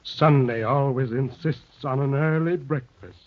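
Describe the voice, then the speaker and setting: A man plays a courteous but unnerving anarchist in an old radio play. He speaks formally and deliberately at a middling pitch. The recording is dull with a faint hiss. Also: lightly acted. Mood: coolly polite. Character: eerie, courteous male anarchist secretary with crooked smile. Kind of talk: 1930s radio drama acting. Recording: muffled, hissy vintage radio recording.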